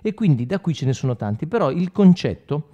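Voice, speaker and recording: A man's voice: a little high, sing-song, fairly quick, dark tone, dull audio. Man giving an informal guitar gear tutorial. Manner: conversational, addresses the viewer directly, often checks with short questions. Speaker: guitar instructor and product demonstrator. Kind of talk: informal tutorial, explaining while demonstrating. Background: electric guitar playing with effects.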